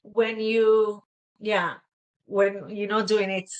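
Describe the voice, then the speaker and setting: A middle-aged woman from Israel with an Israeli accent, talking earnestly and haltingly as a guest, often tagging "right?". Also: medium pitch, level tone, lo-fi sound. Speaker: guest, fashion stylist. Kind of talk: casual interview chat.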